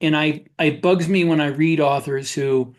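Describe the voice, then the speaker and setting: A man co-hosting a podcast, giving his opinions in a casual, halting way. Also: a little high, steady tone. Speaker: podcast co-host, author. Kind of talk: casual discussion of writing.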